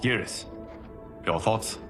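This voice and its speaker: A man playing a grave, wise leader of warriors with measured authority in a video game. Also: close to an everyday voice. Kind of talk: video game cutscene acting. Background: faint music.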